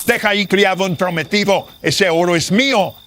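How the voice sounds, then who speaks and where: A man's voice: high voice, normal speed, fairly bright tone, a little hiss or hum, pitched above the performer's own voice. A man playing a greedy, scheming notary in a horror radio drama, pompous and scornful, giving orders. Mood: scornful, irritated. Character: greedy, scheming, haughty male notary, a villain. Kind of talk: Spanish-language horror radio drama acting.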